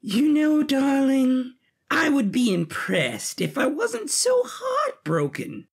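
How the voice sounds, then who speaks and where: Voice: high-pitched, big pitch swings, slow pace, gravelly, pitched above the performer's own voice, exaggerated voice. A man voicing a malicious, icy demon queen in a theatrical, mocking drawl for an audio drama. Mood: mock heartbroken.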